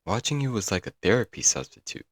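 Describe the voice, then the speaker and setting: A man's voice: on the low side, very animated, fast talker, breathy edge, no room sound, clean studio sound. A man playing a doting, apologetic young yandere kidnapper in a comedic roleplay audio drama, coaxing and rambling intimately to the listener. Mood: soothing, smitten. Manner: coaxing, rambling, pet names, nervous chuckles.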